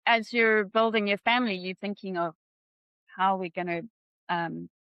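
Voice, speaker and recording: A woman's voice: medium pitch, moderate pace, clean tone, no echo, lo-fi sound. A woman from Zimbabwe, a finance guest on a podcast, talking earnestly and chattily over a video call. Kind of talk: interview conversation.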